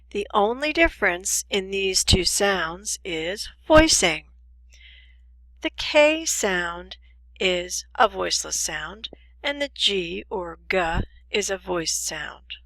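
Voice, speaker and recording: A woman teaching English pronunciation in a scripted lesson, enunciating deliberately and carefully. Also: medium pitch, lively intonation, a bit bright, no echo, low-quality recording. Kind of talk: scripted instructional lesson with demonstrations.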